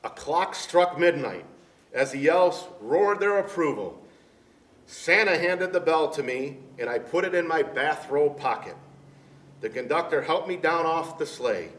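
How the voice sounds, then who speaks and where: A man reads a children's picture book aloud at a slow, deliberate pace, giving it some drama. The voice sits away from the microphone in an echoey room, with faint noise. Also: on the high side, fairly bright tone, distant mic. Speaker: storyteller dressed as Santa Claus, reading to children.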